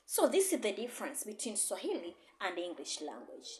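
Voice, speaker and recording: A woman's voice: medium pitch, huge pitch swings, brisk, darkish tone, slight background noise. A woman, a language teacher giving an encouraging online lesson and often repeating herself. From Tanzania. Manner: repetitive, encouraging, code-switching teacher. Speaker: Swahili and English teacher.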